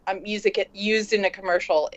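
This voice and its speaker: A woman's voice: mid-range voice, fairly even intonation, brisk. Woman chatting casually, muffled lo-fi sound. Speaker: band member. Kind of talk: casual group conversation. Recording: dull, muffled lo-fi recording.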